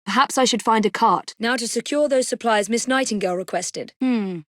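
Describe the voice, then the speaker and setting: Video game lead, played by a woman: young English Victorian assassin, genteel, brisk, resolute, drily witty. Clean, dry booth recording. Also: a little high, no room sound, lightly acted. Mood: focused. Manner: crisp, formal period phrasing, dry wit. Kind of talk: video game character voice lines.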